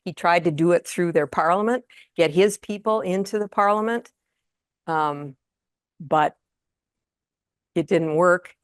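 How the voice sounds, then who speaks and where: A woman's voice: lowish voice, a little slow, pauses often, clean tone, no room sound, studio quality. A retired older woman giving a casual lecture to an audience, with many fillers and restarts. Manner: conversational, frequent fillers and restarts. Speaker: guest lecturer. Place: lecture room.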